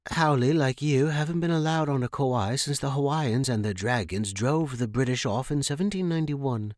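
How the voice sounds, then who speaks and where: A man voicing a self-assured, sardonic woman guide in an audiobook story, brisk and wary of outsiders. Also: mid-range voice, a little mellow, dry recording, studio quality, pitched above the performer's own voice, close to an everyday voice. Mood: stern, sardonic.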